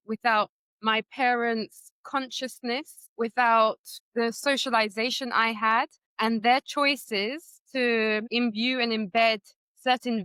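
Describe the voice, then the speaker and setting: A woman's voice: fairly high pitch, a bit bright, dry recording. A woman scholar from Trinidad, a guest in a conversation, reflectively recounting a personal story. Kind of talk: conversational interview.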